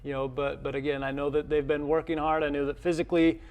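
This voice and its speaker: A man, a college coach, answering interview questions in a television studio in a halting, modest way that often repeats words. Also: fairly high pitch, completely flat delivery, a little mellow. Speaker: college tennis head coach, interview guest. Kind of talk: unscripted interview talk.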